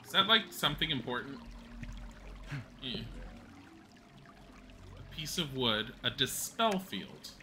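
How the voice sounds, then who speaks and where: A man's voice: mid-range voice, big pitch swings, slow pace, long pauses, background noise. A man in his forties, a streamer chatting casually and rambling while he plays and talks with viewers. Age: 40s. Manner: rambling, chatty, laughs at own mishaps.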